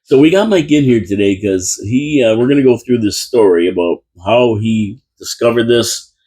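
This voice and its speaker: A man's voice: medium pitch, animated, normal speed, dark tone, slightly rough. A man hosting a live local radio talk show from a small studio, chatty and rambling with lots of restarts. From Canada. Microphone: studio microphone.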